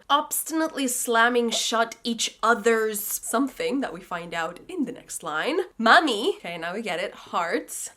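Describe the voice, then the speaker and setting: A woman's voice: a little high, pushed and bright. A young woman, a native Russian speaker, casually breaking down song lyrics as a learner, thinking aloud and correcting herself as she goes. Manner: chatty, self-correcting, thinks aloud while translating. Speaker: language learning host.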